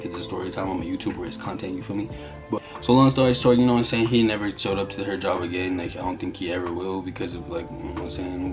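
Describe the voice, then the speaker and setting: A young man telling a story in a casual, slangy vlog style with music behind him. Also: low-pitched. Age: young adult. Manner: slangy, conversational. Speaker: YouTuber telling a story to camera.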